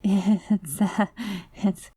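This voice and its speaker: A woman's voice: medium pitch, very slow, mellow, dry recording. A woman playing an obsessive, clingy, doting girlfriend in an ASMR roleplay, rambling and gushing in an anxious, lovestruck way. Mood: anxious, insistent. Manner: gushing, rambling, with nervous giggles and breathy asides. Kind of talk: ASMR roleplay, acted voicemail monologue.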